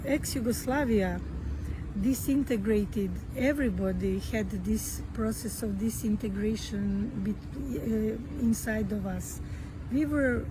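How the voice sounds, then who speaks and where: A woman with a Serbian accent, a non-native English speaker, speaking as a podcast guest. She talks in a slow, halting way, with many fillers and restarts. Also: fairly high pitch, noisy background. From Croatia. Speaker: guest author and activist. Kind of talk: podcast interview.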